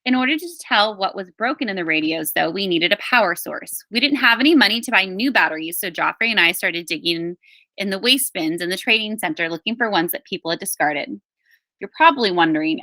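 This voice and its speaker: A woman reading a story aloud as scripted narration, in an expressive, emphatic storytelling manner like a teacher with a class book. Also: on the low side, no echo. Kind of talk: scripted audiobook-style narration of a children's memoir.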